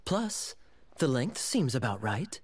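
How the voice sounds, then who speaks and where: A man voicing a suave, sly young cavalry captain in an anime video game, smooth and teasing. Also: medium pitch, sing-song, takes it slowly, exaggerated voice. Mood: amused, sly. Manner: theatrical, teasing.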